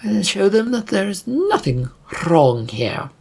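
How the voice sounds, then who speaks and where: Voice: medium pitch, big pitch swings, slow pace, creaky, a little mellow, breathy edge, voice pushed up, full character voice. A narrator voicing a haughty, paranoid fantasy prince in an imperious, indignant manner for a story. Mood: dismissive.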